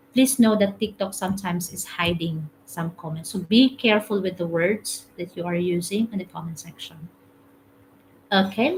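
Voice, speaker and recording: A woman's voice: medium pitch, a little hiss or hum, poor audio. A woman from the Philippines with a Filipino accent, hosting a casual live stream, reading comments aloud, chatting and laughing often. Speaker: TikTok live host. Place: home room, currently in UAE.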